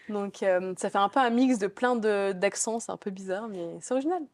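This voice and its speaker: A woman's voice: mid-range voice, normal speed, a little mellow, no room sound. Chatty young woman, dry sound. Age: young adult. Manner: chatty, many fillers and restarts. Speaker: business school student. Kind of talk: casual interview answers.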